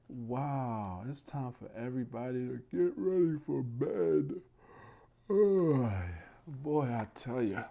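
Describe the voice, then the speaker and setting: A man reads a bedtime story to young children at an extremely unhurried pace. His mid-pitched voice is soft-edged and mellow, kept hushed and gentle as he addresses his small listeners directly. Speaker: children's read-aloud host.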